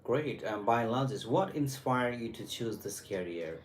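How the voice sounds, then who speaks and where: Man in a mid register running a mock visa interview, brisk and direct, faint room hum behind. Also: medium pitch, lively intonation. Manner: brisk, direct questioning with quick approvals. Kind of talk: role-played interview questioning.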